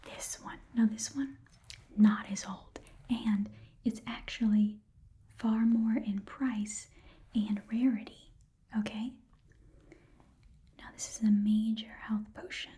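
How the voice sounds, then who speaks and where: A woman voicing an easygoing goblin pawn shopkeeper in a soft, breathy whispered ASMR roleplay, haggling in a casual, rambling way. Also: medium pitch, flat delivery, slow pace, pauses often, lightly acted. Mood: casual, helpful.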